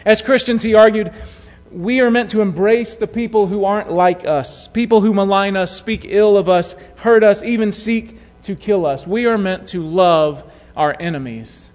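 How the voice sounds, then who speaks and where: A man preaching a sermon, telling a story in a measured way that drops to a hush at key moments. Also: high-pitched. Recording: long hall reverb.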